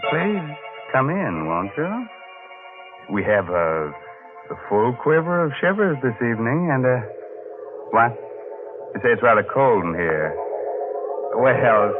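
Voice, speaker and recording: Low, mock-sinister male radio horror host. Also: very animated, phone-quality sound, music in the background. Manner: mock-sinister, theatrical, droll, deliberate pauses. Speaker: mystery show host and narrator. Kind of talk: 1940s radio horror hosting, scripted narration. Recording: thin, noisy old radio recording.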